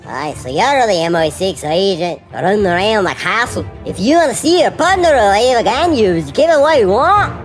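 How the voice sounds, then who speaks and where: A woman voicing a casual, hapless villain henchman in an animated comedy. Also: mid-range voice, moderate pace, hardly any pauses, soft background music, lightly acted. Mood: threatening.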